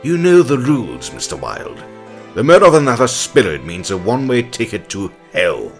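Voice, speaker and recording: A man's voice: mid-range voice, wildly swooping pitch, normal speed, faint music behind. A man playing a merciless, scornful official of a spirit court in an audio drama, declaiming his lines. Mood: stern.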